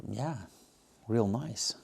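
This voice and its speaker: A man with a Belgian accent giving casual, unscripted tasting commentary as a reviewer, pausing to muse and search for words. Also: medium pitch, creaky, breathy edge. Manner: hesitant, musing, searching for words. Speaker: beer reviewer and host.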